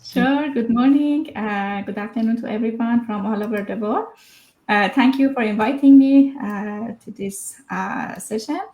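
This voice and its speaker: A woman's voice: on the high side, measured pace, mellow, reverberant, lo-fi sound. A woman, telling her personal story as an interview guest, hesitant and full of fillers. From Iran.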